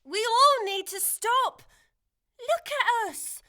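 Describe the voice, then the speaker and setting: Very high, bright young girl. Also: slow, heavily acted. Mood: earnest, pleading. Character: brave, kind-hearted young girl, earnest and hopeful. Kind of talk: family audio drama acting.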